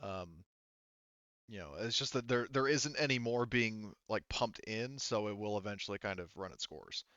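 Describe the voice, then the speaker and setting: A man's voice: fairly low pitch, moderate pace, fairly bright tone, clean tone, dry recording, dull audio. A man narrating a tabletop game as game master, explaining casually and conversationally with lots of "you know" and "like". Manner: hedging, conversational, heavy use of "you know" and "like".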